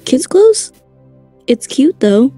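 A woman voicing a naive, indecisive young anime woman in a fan comic dub, soft and husky. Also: sits high, very animated, takes it slowly, dry recording, soft background music, heavily acted. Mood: curious.

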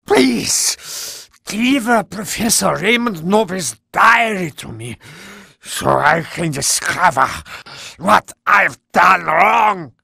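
An actor voicing a desperate, fanatical video game villain, grandiose and tyrannical, in a strained, raspy, creaking voice. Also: male voice, high voice, expressive, takes it slowly, bright, forceful tone, no room sound, clean studio sound, heavily acted. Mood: pleading. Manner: grandiose, melodramatic. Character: desperate, fanatical, tyrannical male villain pleading with dark powers. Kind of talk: video game cutscene acting.

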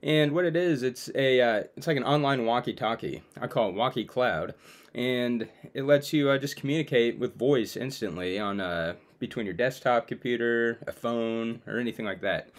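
A man's voice: medium pitch, normal speed. A young adult man giving a casual, conversational demo to viewers as a video creator. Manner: informal, conversational. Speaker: video creator presenting his own web app. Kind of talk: casual screen-share demo, talking to viewers. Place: small room at home, indoors.

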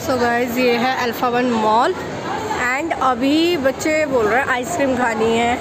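A woman's voice: a little high, unhurried. A woman chatting casually to the camera in a family vlog, teasing and talking to viewers as she walks through a city and a mall. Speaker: family vlogger. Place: outdoors in a busy city and a shopping mall. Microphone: phone camera. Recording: loud crowd and street noise. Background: crowd and traffic noise.

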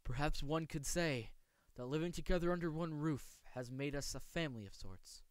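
A man voicing a polite, aloof young swordsman from a manga, with formal, measured, precise speech. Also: on the high side, clean tone, dry recording, natural voice. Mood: cool, composed. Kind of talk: amateur voice acting showcase of manga lines.

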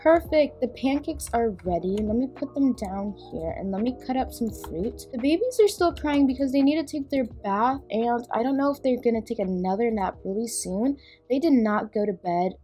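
A woman's voice: a little high, animated, moderate pace, hardly any pauses, warm tone. A young woman voicing a busy mom in a game roleplay, chatting as she narrates each task she does. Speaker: roleplay channel host playing a mom. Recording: faint background music.

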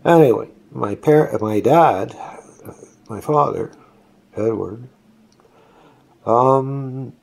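A man's voice: medium pitch, extremely slow, vocal fry, pauses often, a little mellow. A man in his sixties whose first language is Estonian, telling his life story in a rambling, reflective way, recorded at home on a close-held phone. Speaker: vlogger. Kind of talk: personal storytelling. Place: indoors, seated on a couch at home. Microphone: phone camera held close.

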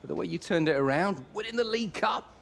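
A man's voice: high voice, very animated, fairly quick, creaky, a little hiss or hum, heavily acted. A man acting a wry, pushy middle-aged football agent in a video game, talking persuasively. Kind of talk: naturalistic video game cutscene dialogue.

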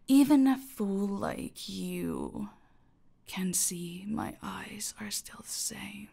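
Audio roleplay villain: actress as a gloating female cartel boss, gravelly fry, unhurried, menacing, slipping into low-volume murmurs. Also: darkish tone. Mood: mocking, gloating. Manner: slow, taunting, commanding, often dropping to breathy murmurs.

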